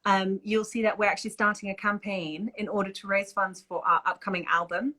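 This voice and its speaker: A woman singer talking as an interview guest in a thoughtful, relaxed way. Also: medium pitch, brisk. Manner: thoughtful, relaxed, with frequent fillers. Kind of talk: casual conversational interview.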